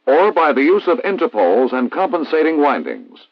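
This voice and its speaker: An American man reading a scripted instructional narration in a formal, measured, didactic way, as in a 1960s military training film. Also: on the high side, sing-song, dry recording. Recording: dull vintage 1960s film soundtrack.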